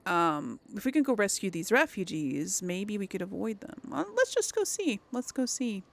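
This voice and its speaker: A woman's voice: medium pitch, sing-song, moderate pace, gravelly. A woman giving casual let's play commentary as host of a gaming series, chatty and thinking aloud as she plays. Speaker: host of a role-play video game series.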